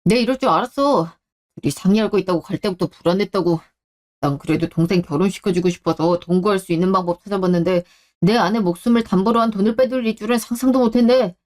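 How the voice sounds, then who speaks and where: A woman's voice: mid-range voice, quick pace, darkish tone, close to an everyday voice. A woman voicing a protective adult husband in a radio drama, with a firm, blunt and earnest delivery. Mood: angry. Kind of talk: Korean radio-drama story acting.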